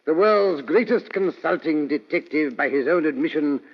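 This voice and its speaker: An actor playing a genial, fussy older English gentleman storyteller in old radio drama, with a British accent and chatty, old-fashioned phrasing. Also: on the high side, normal speed, lightly acted. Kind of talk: 1940s radio drama acting. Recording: muffled old radio broadcast, reverberant.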